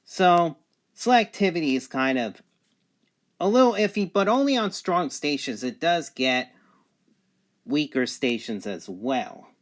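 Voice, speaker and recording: A man's voice: on the high side, big pitch swings, a little slow. A man talking casually and matter-of-factly through a product demonstration, spelling out letters and numbers carefully. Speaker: product reviewer. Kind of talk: casual radio review demonstration.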